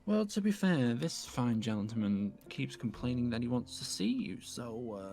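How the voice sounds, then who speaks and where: A man playing a cheeky, pedantic wandering survivor, dry and smart-alecky with hesitant pauses, in an improvised game roleplay. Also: medium pitch, very animated, vocal fry, a little mellow.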